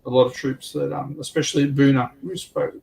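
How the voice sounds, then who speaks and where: A man in casual, halting discussion as a livestream co-host, thinking aloud in an informal way. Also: mid-range voice, flat delivery, gravelly, darkish tone. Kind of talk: casual hobby and military history discussion. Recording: faint background noise, room ambience.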